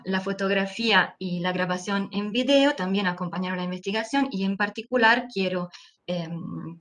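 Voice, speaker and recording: A woman with an Italian accent gives an academic lecture in a second language over a video call. Her delivery is careful and measured, with frequent hesitant fillers as she searches for words, all at an ordinary middle pitch. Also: a bit bright. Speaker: anthropology lecturer.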